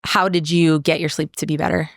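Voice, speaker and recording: A woman's voice: low-pitched, little pitch movement, brisk, vocal fry, dry recording, clean studio sound. A woman hosting a podcast interview, easygoing and chiming in with short agreements. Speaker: podcast host. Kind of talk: casual interview.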